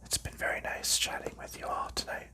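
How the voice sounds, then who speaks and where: A man hosting an ASMR video in a soft unvoiced whisper, calmly narrating each step as he packs up a board game, with relaxed fillers. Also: fairly quick. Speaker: ASMR channel host.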